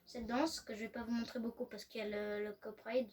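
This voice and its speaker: A woman's voice: on the high side, flat delivery, fast talker, darkish tone. A woman co-hosting a gaming video, chatting casually with lots of hesitant fillers. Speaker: gaming channel co-host. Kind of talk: casual gameplay chat. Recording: faint noise, distant from mic.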